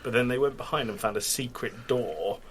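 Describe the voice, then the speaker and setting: A man's voice: medium pitch, moderate pace, slight background noise. A man co-hosting a casual podcast, sweary and easily sidetracked into anecdotes, getting over a cold. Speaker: podcast co-host. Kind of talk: casual chat, telling anecdotes.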